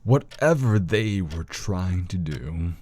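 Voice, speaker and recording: An actor playing a grave, learned older doctor of the occult in an audio horror drama, speaking in a measured, formal way. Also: male voice, low-pitched, lively intonation, darkish tone, no echo, clean studio sound, exaggerated voice.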